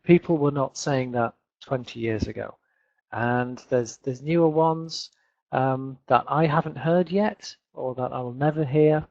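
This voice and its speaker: Man in his 30s from Leeds with a Northern English accent, English teacher on a webinar, unhurried, dry close sound. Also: mid-range voice, creaky, a little mellow, no echo. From England. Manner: chatty, repeats words, many fillers. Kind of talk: online webinar lesson. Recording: dull lo-fi webinar audio.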